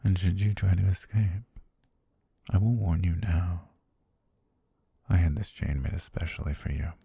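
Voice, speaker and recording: Man acting a very deep, husky possessive butler. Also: steady tone, measured pace, dry recording, full character voice. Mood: calm menace. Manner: courtly, softly coaxing, half-whispered, formal address. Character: possessive, lovestruck yandere male butler, patient and menacing. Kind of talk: intimate ASMR roleplay.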